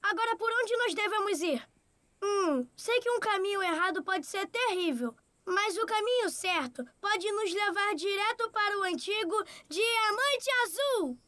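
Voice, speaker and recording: A woman's voice: very high voice, animated, unhurried, pushed and bright, dry recording, heavily acted. A woman voicing a cheerful, curious, polite little boy adventurer in a children's cartoon. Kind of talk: cartoon dub acting. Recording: music behind the voice.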